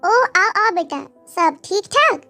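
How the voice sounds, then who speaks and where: A woman's voice: very high voice, very animated, slow, sharp tone, faint music behind, exaggerated voice. A woman voicing a hospitable, traditional middle-aged father-in-law in a romance story, polite and a little fussy. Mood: welcoming.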